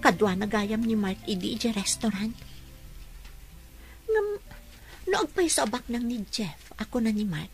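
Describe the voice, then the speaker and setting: A woman's voice: fairly high pitch, a bit bright. An actress playing a coy young working woman in an Ilocano radio drama, melodramatic and sweetly scheming.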